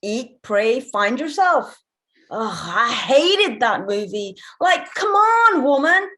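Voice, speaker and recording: Woman playing sardonic, lively bar-owner mother. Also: high-pitched, slow, heavily acted. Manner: blunt, irreverent. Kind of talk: acting in a table read.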